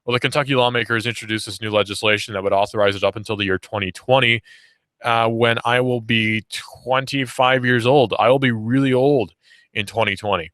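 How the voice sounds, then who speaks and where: A man's voice: mid-range voice, steady tone, a little fast, fairly bright tone, dry recording. A young man in his late teens hosting a talk radio show, giving sarcastic, argumentative commentary and repeating points for emphasis. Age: young adult. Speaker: radio host. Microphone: studio microphone.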